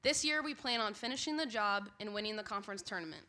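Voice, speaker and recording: A young woman, a college softball player, giving a grateful, upbeat prepared speech at a banquet. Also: mid-range voice, animated, fast. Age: young adult. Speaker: student athlete.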